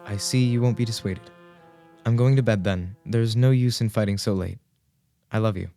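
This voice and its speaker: A man plays a gentle, worried husband in a radio drama. His voice is dark and mellow, his manner earnest and formal. Light music plays behind him. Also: lowish voice, moderate pace, close to an everyday voice. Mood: resigned. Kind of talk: radio play acting.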